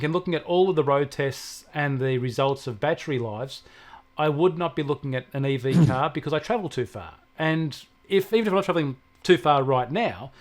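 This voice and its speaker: An Australian man co-hosting a casual tech talk, explaining things in a plain-spoken, opinionated way. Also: a little high. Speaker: technology show co-host and expert.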